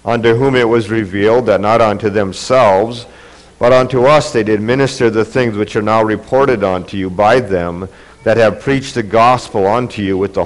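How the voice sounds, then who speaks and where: A man's voice: lowish voice, low-quality recording. A man preaching a sermon, congested from a cold, earnest and building in intensity. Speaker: pastor. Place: church.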